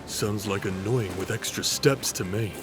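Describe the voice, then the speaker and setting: A man's voice: on the low side, measured pace, natural voice. Curt, sardonic demon played by a man. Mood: irritated, sarcastic. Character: grumpy, stubborn, monosyllabic, sarcastic male demon. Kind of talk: fantasy audio roleplay acting. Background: music.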